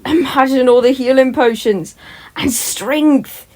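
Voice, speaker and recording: A woman's voice: sits high, slow pace, a little mellow, voice pushed up. A woman voicing a giddy, carefree young boy who exclaims eagerly in a fan-fiction audiobook. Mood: giddy excitement. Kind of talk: podfic character reading.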